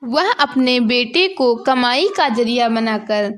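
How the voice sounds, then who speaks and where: A woman's voice: a little high, lively intonation, slow, fairly bright tone. A woman narrating a scripted moral bedtime story for children.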